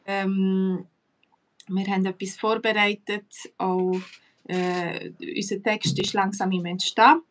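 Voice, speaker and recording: A woman's voice: medium pitch, slow. Brief: woman, Swiss German accent, easygoing teacher-host, chatty with fillers, sounding a bit distant from the mic. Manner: relaxed, chatty, many fillers, laughs often. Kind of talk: casual live chat.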